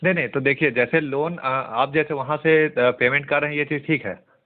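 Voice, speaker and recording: A man's voice: a little high, steady tone, moderate pace. A man from India questioning someone over the telephone as a journalist, hesitant, polite but openly doubting. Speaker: journalist questioning a suspected scammer. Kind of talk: questioning phone conversation. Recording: tinny narrowband phone call recording.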